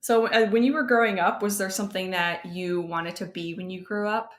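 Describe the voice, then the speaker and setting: A woman's voice: medium pitch, fairly even intonation, brisk. An American woman co-hosting a podcast in casual, conversational talk. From southern New Jersey, United States. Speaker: podcast co-host. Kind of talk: casual chat.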